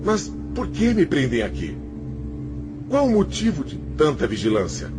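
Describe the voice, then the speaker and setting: A man's voice: on the high side, wildly swooping pitch, moderate pace. A man acting in a radio drama as a self-pitying, defiant male spirit, theatrical and complaining as he justifies himself. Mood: bitter complaint. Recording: music under the voice.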